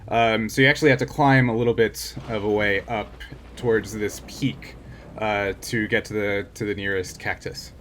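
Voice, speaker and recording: A man's voice: fairly low pitch, steady tone, normal speed, fairly bright tone. Man game master with background noise. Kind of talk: casual tabletop game narration and rules talk.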